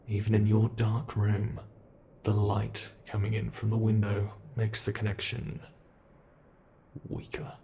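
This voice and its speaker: Creepy monster under the bed for horror asmr, gravelly n slow, gleeful taunting, theatrical, bit of background noise. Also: male voice, on the low side, a little mellow. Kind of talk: ASMR horror roleplay, addressed to the listener.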